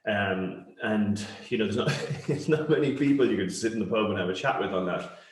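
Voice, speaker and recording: Low-voiced Cork man, echoey room. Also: a little fast, slight background noise. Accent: Cork Irish. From Cork, Ireland. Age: middle-aged. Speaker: interview guest, company founder. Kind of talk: conversational interview answers. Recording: roomy, echoey sound.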